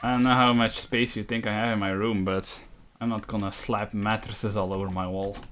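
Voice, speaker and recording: A man's voice: lowish voice, little pitch movement, moderate pace, a bit bright. A man chatting casually as he livestreams a game, with a dry, wry manner. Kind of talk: casual chat while gaming. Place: room in a shared house. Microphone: voice chat on push to talk. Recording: clear background noise, clicks.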